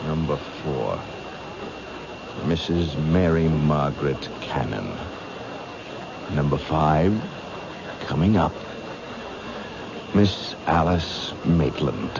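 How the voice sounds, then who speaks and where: Deep, slow, menacing killer. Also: male voice, expressive, creaky, heavily acted. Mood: grim satisfaction. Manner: deliberate, taunting, ominous. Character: menacing, vengeful male killer, coldly bent on a promise. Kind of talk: 1970s radio drama acting. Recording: muffled, noisy old radio broadcast.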